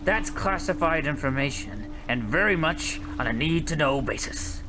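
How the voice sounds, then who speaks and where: An actor playing a seasoned, secretive WWII navy captain in a radio drama, speaking in a measured, commanding, probing way. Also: male voice, fairly high pitch, expressive, noisy background, lightly acted. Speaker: ship captain. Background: music.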